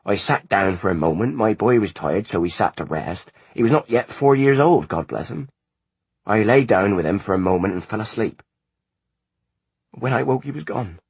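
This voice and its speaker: A sorrowful peasant mother, as a narrator puts her on, lifted high above his low speaking voice. She pleads softly, worn down by grief, with a husky edge. The storybook reading is dry and close. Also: lively intonation, moderate pace, no echo. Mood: grieving. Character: weary grieving peasant mother, humble.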